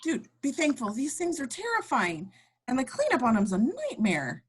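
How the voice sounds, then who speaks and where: A woman's voice: high voice, huge pitch swings, moderate pace, a little hiss or hum. A woman playing a wry, jaded male control-room technician in an amateur table read. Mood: consoling.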